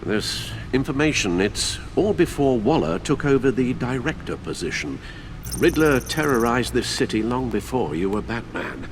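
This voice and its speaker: An actor playing a measured, concerned older male confidant in a game drama, speaking with dry understatement. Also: medium pitch, big pitch swings, a little hiss or hum, close to an everyday voice. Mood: uneasy.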